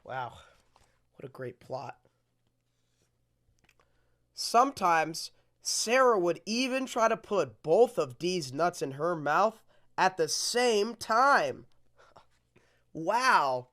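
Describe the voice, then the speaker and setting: A storytime host reading a picture book aloud in an exaggerated storybook manner with deadpan asides. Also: mid-range voice, very slow, long pauses.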